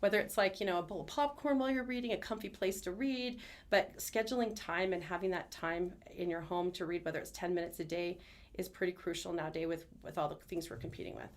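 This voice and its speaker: A woman's voice: lowish voice, quick pace. A middle-aged woman, a schoolteacher, chatting earnestly and enthusiastically as an interview guest. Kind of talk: conversational interview answers. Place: studio.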